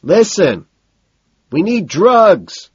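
A man voicing an impatient, desperate young-money partygoer in an audiobook, shouting insistently. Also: high voice, sing-song, very slow, breathy edge, dry recording, muffled recording, voice pushed up, full character voice. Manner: shouting over a crush, insistent.

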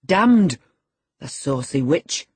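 A woman voicing a surly, hot-tempered rough farm lad who growls curtly, in an audiobook. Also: sits low, big pitch swings, slow, a little mellow, no room sound, exaggerated voice. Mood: growling contempt. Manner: growling, curt. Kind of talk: dramatised audiobook character.

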